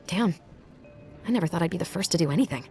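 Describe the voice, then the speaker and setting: A woman's voice: lowish voice, expressive, fast talker, warm tone, faint music behind, natural voice. A woman voicing a troubled, searching young woman in a fantasy video game, earnest and dramatic. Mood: shaken, resolute.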